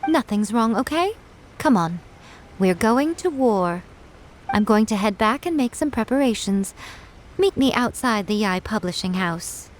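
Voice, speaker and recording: A woman's voice: medium pitch, lively intonation, a little slow, a little hiss or hum, close to an everyday voice, low-quality recording. A woman voicing a sly, elegant fox-spirit shrine priestess in a video game, poised, haughty and playfully sarcastic. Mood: scheming, coaxing.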